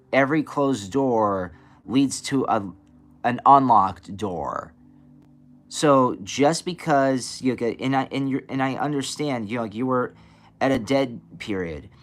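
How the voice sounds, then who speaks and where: A young man with a raspy voice, a blues and soul singer, talking casually in an interview with many fillers and restarts. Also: mid-range voice.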